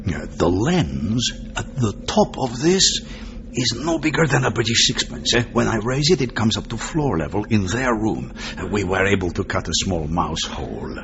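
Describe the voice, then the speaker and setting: An actor playing a genial, worldly Turkish spymaster in a radio drama, often tagging phrases with a questioning huh. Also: male voice, on the low side, very animated, moderate pace, few pauses. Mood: worldly, teasing. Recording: dull lo-fi sound with clear noise.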